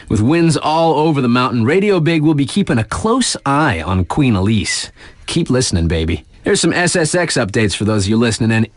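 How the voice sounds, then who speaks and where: A man playing a hip, easygoing radio DJ in a video game, delivering snowboard circuit news with slangy, enthusiastic patter and emphatic drawn-out phrases. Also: mid-range voice, no room sound, clean studio sound.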